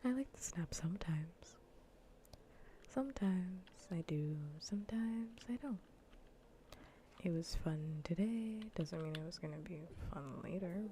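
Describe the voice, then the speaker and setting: A woman streamer chatting casually in a soft, breathy whisper on an ASMR gaming livestream, rambling and repeating phrases, close on the microphone. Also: lowish voice, unhurried, a little hiss or hum.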